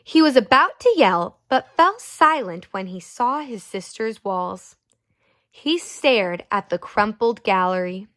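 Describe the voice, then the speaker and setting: A woman reading a picture book aloud as a teacher, in a deliberate, expressive way meant for young children. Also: medium pitch, dry recording, clean studio sound. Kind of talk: expressive storybook read-aloud with questions to children.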